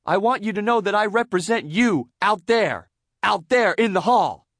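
A man voicing an eager, self-important cartoon sea sponge boy in grand, over-excited declarations for a children's storybook. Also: high-pitched, moderate pace, dry recording, clean studio sound, pitched above the performer's own voice, heavily acted. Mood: pompous, earnest.

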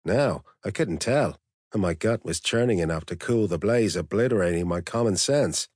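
Deep, husky male audiobook narrator. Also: animated, normal speed, darkish tone, no room sound, clean studio sound. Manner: blunt, profane, wry. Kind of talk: first-person novel narration.

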